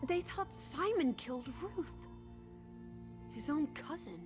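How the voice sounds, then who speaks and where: Game role: headstrong young woman, high and very lively pitch, theatrical, bossy, inquisitive. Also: takes it slowly, over music. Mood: shocked, deducing. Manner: bossy, theatrical, teasing and scolding. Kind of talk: video game drama acting.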